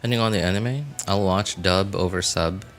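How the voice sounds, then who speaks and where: A man's voice: deep voice, brisk, fairly bright tone, soft background music. A man streaming a game, chatting casually in a rambling, self-correcting way with many fillers. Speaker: gaming streamer. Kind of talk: casual commentary.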